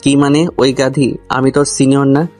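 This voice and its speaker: A man's voice: mid-range voice, little pitch movement, moderate pace, darkish tone. A man narrating a comic love story in the first person, grumbling and talking straight to the listener. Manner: grumbling, addresses the listener directly. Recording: music under the voice. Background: background music.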